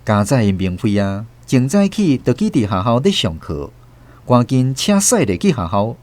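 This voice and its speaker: A man's voice: mid-range voice, big pitch swings, normal speed, a little mellow. A man with a Taiwanese accent reading dramatic scripted narration for a radio drama.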